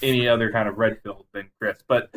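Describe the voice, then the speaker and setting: A man's voice: fairly low pitch, fairly even intonation, fairly quick, fairly bright tone, a little hiss or hum. A man co-hosting a podcast in casual chat about movies, often chuckling as he talks. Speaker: podcast co-host.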